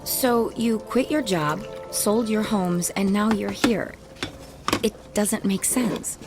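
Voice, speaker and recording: An actress playing a sharp-tongued, wounded ex-wife in a radio drama, guarded and full of biting, sarcastic retorts. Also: female voice, mid-range voice, takes it slowly, over music. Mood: grudging, puzzled.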